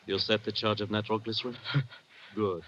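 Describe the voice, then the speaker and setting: An actor playing a scheming saboteur in a 1950s radio drama. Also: male voice, lowish voice, level tone, normal speed, lightly acted. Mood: conspiratorial. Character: sinister scheming male saboteur, coldly murdering then pleading. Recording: noisy, muffled old radio broadcast.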